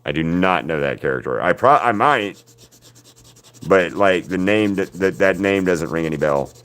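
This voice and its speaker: A man with a deep voice, chatting casually with viewers while he paints on a livestream. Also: lively intonation, normal speed, a bit bright. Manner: rambling, answers viewers' chat by name. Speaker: artist hosting a livestream.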